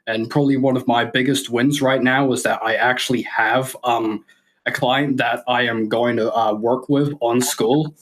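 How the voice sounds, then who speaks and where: A man's voice: medium pitch, steady tone, moderate pace, poor audio. A young man, a student introducing himself hesitantly on a video call with stammering restarts. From New Zealand. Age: late teens.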